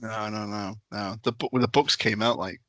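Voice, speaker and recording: A man's voice: fairly low pitch, steady tone, moderate pace, a bit bright, no room sound. A man talking casually as a guest on a podcast. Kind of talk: casual chat.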